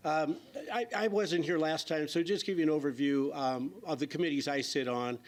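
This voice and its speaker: A man's voice: a little high, fairly even intonation, normal speed. A man, a state senator with a slightly scratchy voice, speaking plainly at a public forum. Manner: plainspoken, listing things with fillers.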